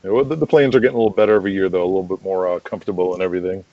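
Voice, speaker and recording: A man speaking as a guest in a casual conversational interview, chatty and quick to laugh, on muffled lo-fi audio. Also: low-pitched, steady tone, no room sound. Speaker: podcast guest, wrestling promoter.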